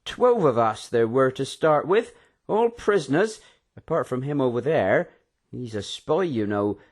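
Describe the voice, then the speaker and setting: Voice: mid-range voice, expressive, measured pace. A reader voicing a cheerful, hearty middle-aged man welcoming guests. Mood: recounting. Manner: hearty, beaming. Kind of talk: audiobook character voice.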